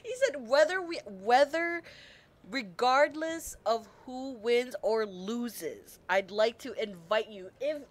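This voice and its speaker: A woman's voice: a little high, lively intonation, slow pace. A woman streaming a game, rambling and gushing with emotion and some swearing. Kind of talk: excited gaming stream commentary. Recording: stream with loud game music behind.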